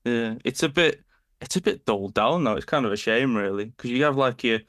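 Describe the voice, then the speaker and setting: A man's voice: mid-range voice, expressive, fairly quick, fairly bright tone, no room sound, studio quality. A man hosting a podcast, asking chatty, casual questions. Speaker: podcast host.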